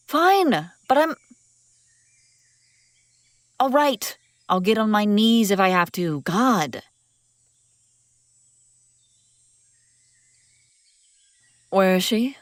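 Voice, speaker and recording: A woman's voice: mid-range voice, no echo, close to an everyday voice. A woman playing a haughty, wry young princess on the run in an acted fantasy audio roleplay, bantering with exasperated sarcasm. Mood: flustered, exasperated. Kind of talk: acted audio roleplay, one-sided dialogue.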